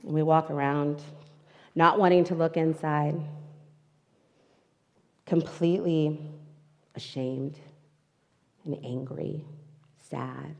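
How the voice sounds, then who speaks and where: A woman's voice: sits low, steady tone, takes it slowly, vocal fry, pauses often, dull audio. A woman as guest speaker, giving a candid, emotional, personal inspirational talk into a microphone at a spiritual centre. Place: spiritual centre hall, livestream. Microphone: microphone in front of her. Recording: long reverberant hall sound.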